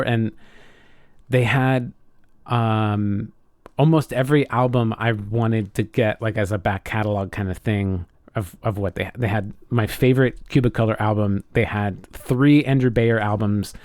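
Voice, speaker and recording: A man's voice: on the low side, steady tone, measured pace, darkish tone, clear, resonant tone, dry recording, studio quality. A man co-hosting a podcast in casual chat, effusive and enthusiastic, often restarting his phrases. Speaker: podcast co-host.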